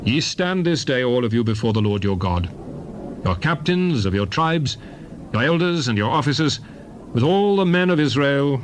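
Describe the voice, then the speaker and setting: A stern male prophet, acted by a man, exhorts his people in solemn, emphatic biblical oratory. The pitch is middling and the delivery measured and declamatory, as in a dramatized scripture recording. Faint noise and ambience sit behind the voice. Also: expressive, lightly acted. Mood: solemn exhortation. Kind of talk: dramatized King James Bible reading, declamatory oratory.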